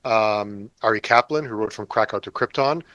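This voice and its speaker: A man's voice: on the low side, moderate pace, a bit bright, no echo, lo-fi sound. Wry, joking man in his forties. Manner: wry, self-deprecating, joking. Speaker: author guest. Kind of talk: casual interview chat.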